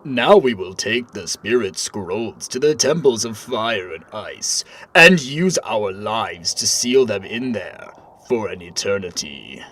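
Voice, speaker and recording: A man's voice: fairly low pitch, animated, slow, fairly bright tone, heavily acted. A man voicing a stern, curt fantasy warrior in a cartoon, with a dramatic delivery. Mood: solemn.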